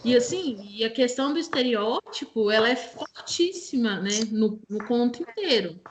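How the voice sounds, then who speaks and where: Woman w a higher voice breaking down a story, measured, bit of noise behind. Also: lively intonation. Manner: measured, analytical. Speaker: book club participant. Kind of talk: casual literary discussion. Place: home, online video call.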